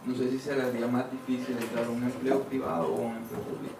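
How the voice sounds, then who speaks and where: A man's voice: mid-range voice, little pitch movement, fast talker. A man from Colombia with a Colombian accent, politely and a little hesitantly asking a question at a forum. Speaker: audience questioner. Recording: echoey room, distant, noisy.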